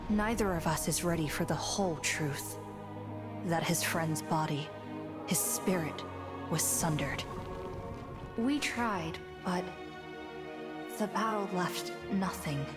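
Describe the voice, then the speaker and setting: Solemn warrior woman, slow pace, slightly low pitch, formal measured phrasing, motion comic drama, music behind. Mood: sorrowful, guarded. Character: solemn female warrior bearing grave news of a fallen comrade. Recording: music under the voice.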